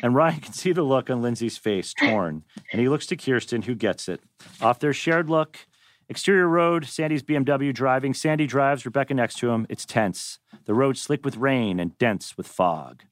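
A man's voice: mid-range voice, moderate pace, no echo, clean studio sound. A man narrating scene headings and action as the stage directions reader at a live script table read.

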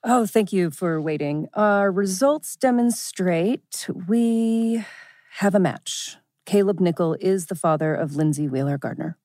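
A woman's voice: fairly low pitch, animated, measured pace, dark tone, dry recording, studio quality. A woman playing a formal doctor delivering results at a table read. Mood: formal, measured.

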